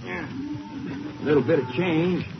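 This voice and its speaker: An actor playing an observant, easygoing Texas Ranger sidekick, plainspoken, in 1950s radio drama style. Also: male voice, fairly high pitch, moderate pace, gravelly. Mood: businesslike. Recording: noisy, dull old radio recording.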